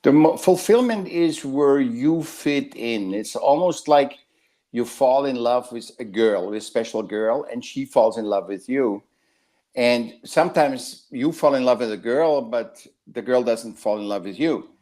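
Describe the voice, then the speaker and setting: A man, a guest artist heard over a video call, wanders through his thoughts. His sentences stop and restart, and he circles back to find a word. His voice sits at an ordinary middle pitch for a man. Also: warm tone. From Austria. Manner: rambling, halting, with many restarts. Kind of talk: casual interview talk.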